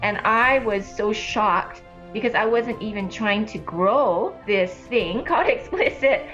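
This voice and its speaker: A woman's voice: medium pitch, animated, a bit bright, lo-fi sound, soft background music. Older woman, earnest and conversational. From Hawaii. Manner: earnest, conversational, halting restarts. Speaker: guest, ministry leader. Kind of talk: casual interview talk.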